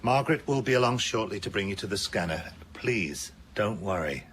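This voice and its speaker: A research doctor in a radio drama. His voice is fairly low and very expressive, polite and reassuring with a slight unease. There is faint noise behind him. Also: normal speed. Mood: soothing.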